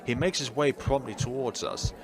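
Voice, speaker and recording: A man's voice: medium pitch, moderate pace, a crowd in the background. A man reading a visual novel aloud as a let's player, mixing narration with casual asides and sometimes tripping over words and correcting himself. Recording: music playing behind the voice. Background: game music.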